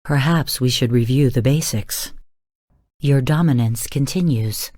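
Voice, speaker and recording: A woman voicing a calm, calculating artificial intelligence in a video game, speaking formally and with measured, cool command. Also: rumbling low, in a large hall. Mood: stern, then approving. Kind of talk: scripted video game voice lines.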